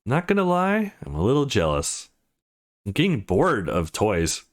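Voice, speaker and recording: A man's voice: medium pitch, wildly swooping pitch, unhurried. Man voicing a brash, teasing tomboy girl. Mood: teasing, frank. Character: brash, teasing, foul-mouthed tomboy young woman, loyal friend.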